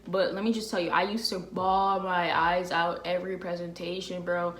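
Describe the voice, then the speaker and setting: A woman's voice: fairly low pitch, level tone, normal speed, a bit bright. A young woman talking casually and candidly to camera as a vlogger, in a slangy way with lots of fillers. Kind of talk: candid confessional chat to camera.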